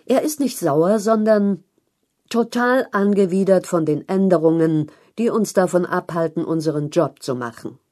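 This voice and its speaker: A woman reads a long-form news article as a narrator. Her voice sits fairly low and has a very mellow, dark tone. The delivery is scripted, measured and clearly articulated.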